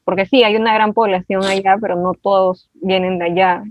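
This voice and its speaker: A woman's voice: medium pitch, steady tone, no echo. A woman conducts the interview, often stopping and restarting her sentences. Her voice comes through thin and phone-like, as if over a call. The sound is very dry, with no room behind it. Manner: halting, with repeated restarts. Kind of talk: conversational interview. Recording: dry, tinny phone-like line.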